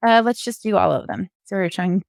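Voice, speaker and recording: A woman's voice: medium pitch, lively intonation, quick pace, vocal fry, no room sound, studio quality. A woman giving an informal screen-share coding walkthrough, explaining things step by step as a podcast co-host.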